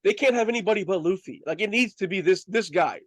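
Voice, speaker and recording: A man's voice: high-pitched, lively intonation, quick pace, a bit bright, no echo. A man hosting a casual fan review chat, speaking in an emphatic, slangy and enthusiastic way. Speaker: host of a review show.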